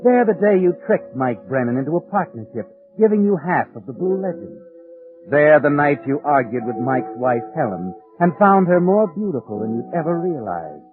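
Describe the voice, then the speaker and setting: An actor playing a sardonic, all-knowing mystery narrator who taunts a murderer in 1940s radio suspense style. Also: male voice, a little high, sing-song, moderate pace, phone-quality sound. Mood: taunting, ominous. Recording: vintage radio with prominent music behind. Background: dramatic music.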